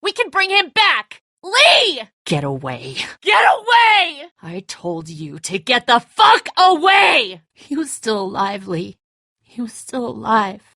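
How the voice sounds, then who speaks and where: An actress playing a bitter, distraught, hot-tempered grown daughter in a dramatic video game, raw and confrontational. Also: female voice, on the high side, huge pitch swings, slow pace, pushed and bright, dry recording, studio quality, exaggerated voice. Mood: desperate. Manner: raw, emotional, confrontational, sweary.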